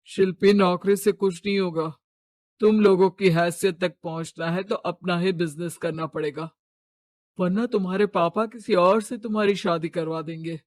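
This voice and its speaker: Man acting an earnest young suitor, high-voiced. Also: steady tone, normal speed, no room sound, studio quality, close to an everyday voice. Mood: worried. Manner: earnest, respectful. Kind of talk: Hindi cartoon moral story dialogue.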